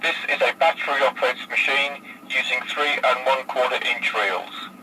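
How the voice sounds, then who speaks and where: A man giving a measured, matter-of-fact technical demonstration, as if presenting equipment from a script. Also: medium pitch, fairly even intonation, background noise.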